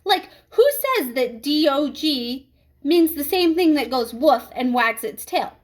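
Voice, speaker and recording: A woman's voice: high voice, measured pace. A woman reading a children's storybook as a sly, eager schoolboy with a hesitant, questioning manner. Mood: questioning, insistent. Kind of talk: children's storybook read-aloud.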